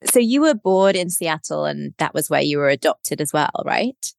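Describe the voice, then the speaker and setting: A woman's voice: sits low, lively intonation, normal speed, gravelly, no echo, clean studio sound. A woman hosting a podcast, reading an introduction and asking upbeat interview questions. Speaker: podcast host.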